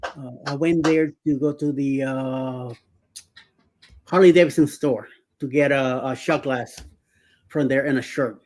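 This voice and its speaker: A man in his sixties hosting a casual chat, telling stories with many pauses, fillers and restarts. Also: medium pitch, steady tone, darkish tone, a little hiss or hum. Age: 60s. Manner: rambling, many fillers and restarts, laughs often. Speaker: show host. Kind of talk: casual live-stream chat.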